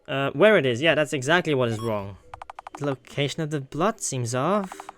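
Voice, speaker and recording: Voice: medium pitch, animated, normal speed. A gaming host talks through a story game in a casual way, thinking aloud as it goes. The language is loose, with some swearing. Faint music plays behind the voice. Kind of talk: let's play commentary.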